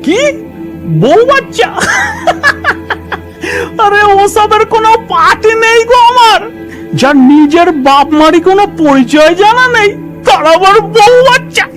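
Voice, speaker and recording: High-voiced, flustered simple village man acted by a man. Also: lively intonation, a little slow, pauses often, a bit bright, faint music behind, exaggerated voice. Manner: stammering, folksy, repeats himself. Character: simple, kindly, easily flustered male cremation-ground worker. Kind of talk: animated horror story dialogue.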